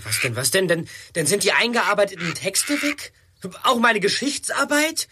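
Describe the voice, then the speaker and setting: A man's voice: sits high, lively intonation, normal speed, pushed and bright, slight background noise. An actor playing an alarmed, exasperated young male detective in a German audio drama.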